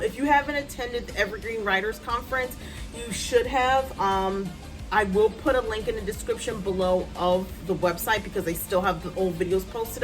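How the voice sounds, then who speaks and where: A woman in her thirties, a writer, chatting casually to her phone's front camera at home, loud-voiced and rambling with many ums and asides. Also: on the high side. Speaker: writer vlogger. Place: hot room at home with a fan.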